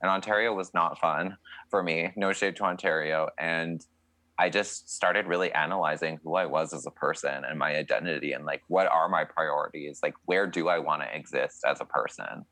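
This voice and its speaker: Low-voiced young man, chatty and candid. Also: moderate pace, bright, forceful tone, dry recording. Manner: chatty and candid, many likes and fillers. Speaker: guest, country singer. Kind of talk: casual interview answers.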